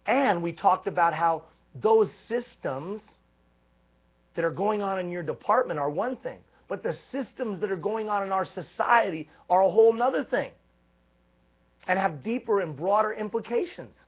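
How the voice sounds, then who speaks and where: A man giving a live leadership coaching talk, energetic and emphatic, stacking repeated phrases. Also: sits high, moderate pace, slightly rough. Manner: energetic, emphatic, repetitive build-ups. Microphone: live stream microphone. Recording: tinny phone-like live stream.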